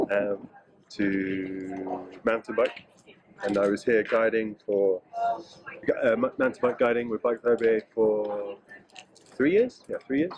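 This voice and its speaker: A man's voice: on the low side, slow pace, highs cut off. A middle-aged English man chatting casually in an interview, joking and hesitating with fillers. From England. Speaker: interviewee, mountain bike guide.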